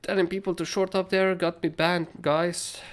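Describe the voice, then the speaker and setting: A young man with a hoarse, raspy voice, casually talking through trading charts as a livestream host, rambling and swearing freely. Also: high voice, little pitch movement, moderate pace. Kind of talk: casual live chart analysis.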